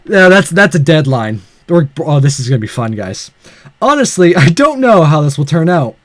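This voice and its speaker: A man reading a visual novel aloud in an expressive way, with casual, sweary off-the-cuff commentary as a let's player. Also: on the high side, normal speed.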